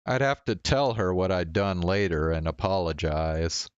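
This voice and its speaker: Deep-voiced man narrating, slow-paced. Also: smooth, no echo, muffled recording. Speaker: game streamer. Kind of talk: reading visual novel narration aloud. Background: game music.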